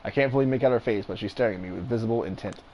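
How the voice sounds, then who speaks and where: A man with a fairly deep voice reading a text game aloud, casual, swearing now and then and commenting on the writing. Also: rapid-fire, dull audio. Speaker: streamer playing a text adventure game. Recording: noisy background hiss.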